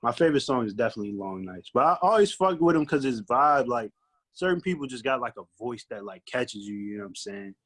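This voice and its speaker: A man's voice: mid-range voice, animated, fast talker, fairly bright tone. A man with an American accent, a guest giving a casual album review in slang, thinking aloud as he goes. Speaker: podcast guest, recording artist.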